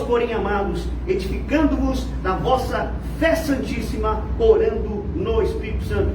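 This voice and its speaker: A Brazilian man with a Brazilian Portuguese accent preaching a fervent sermon, dropping to low murmurs and rising to shouts. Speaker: pastor. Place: church. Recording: echoey, distant, noisy church hall.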